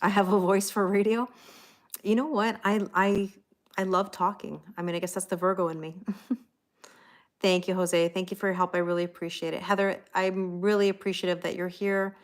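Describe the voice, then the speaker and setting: A woman's voice: medium pitch, little pitch movement, normal speed. A middle-aged woman hosting a tarot livestream, chatting casually and thanking viewers by name.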